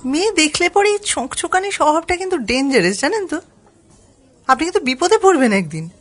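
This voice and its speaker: Woman playing a high-voiced, sharp-tongued young woman. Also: very animated, fairly quick, faint music behind. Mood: scolding. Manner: curt, sardonic, scolding. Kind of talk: audio drama acting.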